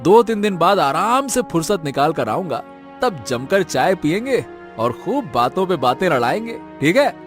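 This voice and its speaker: A man's voice: on the high side, sing-song, moderate pace, soft background music. A man playing a busy, kindly factory worker in a cartoon, placating and friendly.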